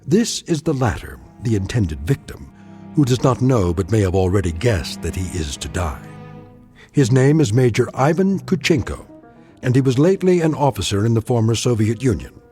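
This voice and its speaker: A man narrating a radio drama in a deliberate, ominous, portentous style. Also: mid-range voice, big pitch swings, mellow, soft background music.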